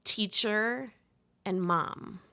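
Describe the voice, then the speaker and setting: A woman in her forties from Wisconsin, telling stories as an interview guest in a goofy, lively way with frequent laughter. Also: mid-range voice, extremely slow. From Milwaukee, Wisconsin. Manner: goofy, lively storyteller who laughs often. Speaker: podcast guest, improv performer. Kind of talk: casual interview chat.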